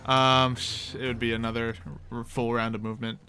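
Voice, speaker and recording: A man's voice: mid-range voice, level tone, a little fast, pushed and bright. A man running a tabletop roleplaying game as game master, narrating turns and rulings casually. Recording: faint music underneath. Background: faint background music.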